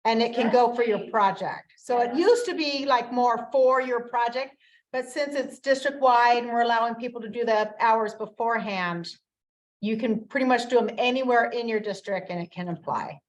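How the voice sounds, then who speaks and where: A woman's voice: fairly high pitch, moderate pace, rough recording. A woman at a neighborhood meeting, explaining how a city program works in an informative way. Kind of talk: community meeting discussion.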